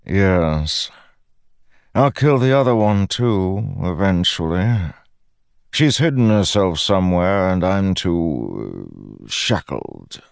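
A man voicing an ancient malevolent god in a fantasy audiobook, smiling, paternal and patronizing, with unhurried theatrical delivery. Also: low-pitched, sing-song, creaky, clean tone, no room sound, clean studio sound, pitched above the performer's own voice, full character voice. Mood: smug, menacing.

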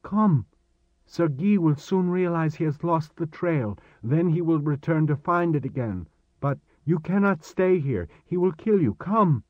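A man's voice: a little high, animated, a little slow, dry recording, phone-quality sound, voice pushed up. A man voicing an urgent teenage boy in an audiobook, giving insistent warnings in stilted, formal phrases. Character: urgent teenage boy, foreign and archaic-speaking guide. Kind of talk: audiobook character dialogue.

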